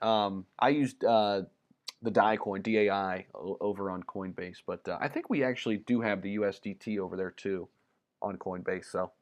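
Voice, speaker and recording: A man with a fairly low voice, from the United States, chats casually on a livestream and fills his talk with ums and restarts. His voice sounds a little worn, as if he is slightly losing it. He reads viewer comments and answers them in an easygoing way. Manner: relaxed and chatty, many ums and repeated words. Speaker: crypto livestream host.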